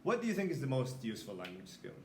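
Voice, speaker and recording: A man, an English teacher, interviewing a colleague in a relaxed, joking way. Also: mid-range voice, quick pace. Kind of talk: casual interview. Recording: roomy with faint noise.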